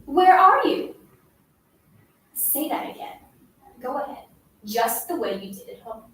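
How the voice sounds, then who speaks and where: A woman's voice: fairly high pitch, normal speed, a little mellow, lightly acted. A woman playing a cruel schoolgirl who bullies a silent classmate with taunting, insistent commands in a dramatic story performance. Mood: taunting, commanding. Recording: very echoey room, distant mic, faint noise.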